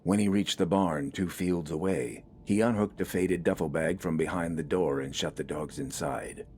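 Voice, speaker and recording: Deep-voiced male story narrator. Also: moderate pace, a little mellow, slight background noise. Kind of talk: scripted fiction narration. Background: faint music.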